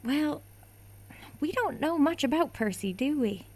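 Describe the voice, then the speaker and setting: A feisty, gossipy woman cook with a spirited, chatty delivery. Her voice sits a little high. A woman acts her out in a radio play. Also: expressive, a little slow, a little mellow. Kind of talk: amateur radio play acting.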